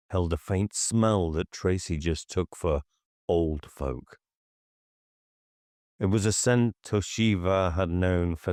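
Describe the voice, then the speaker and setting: Male audiobook narrator: very deep, unhurried, deliberate horror storytelling in a dry recording. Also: a little mellow, dry recording. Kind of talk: scripted horror fiction narration.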